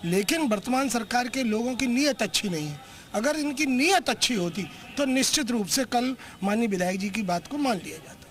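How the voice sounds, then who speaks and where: A man's voice: very high voice, animated, moderate pace, fairly bright tone. A man who is a politician, giving a critical statement to the press.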